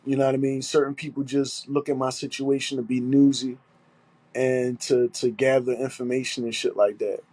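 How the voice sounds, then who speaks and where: A man with a blocked, stuffy nose holds forth alone on his podcast. He sounds aggrieved and hammers each point through repetition, with rough language thrown in. His mid-pitched voice sits close and dry, with no room sound. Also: little pitch movement, normal speed, darkish tone, no room sound. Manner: aggrieved, emphatic, repeating himself, swearing. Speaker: podcast host. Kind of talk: solo monologue podcast.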